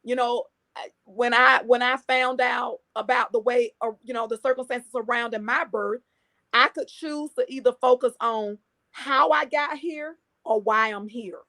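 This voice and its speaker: A woman with a slightly high voice speaks as a podcast guest. She talks earnestly with a preacher's emphasis, repeating key phrases to drive each point home. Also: fairly even intonation, a little slow, pushed and bright. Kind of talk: conversational interview.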